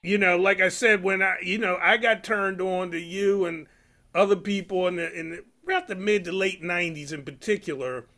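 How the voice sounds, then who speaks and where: An older man hosting an interview, eager and rambling, piling up fillers as he works toward his questions. His voice is bright and lifts a little higher than most men's. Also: steady tone, normal speed. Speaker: interviewer, host. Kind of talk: casual interview conversation.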